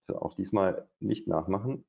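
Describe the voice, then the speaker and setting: Low, gravelly man thinking aloud. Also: normal speed, darkish tone. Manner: thinking aloud, hesitant, many fillers. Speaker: day trader. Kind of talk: live trading commentary. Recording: dull, lo-fi stream audio.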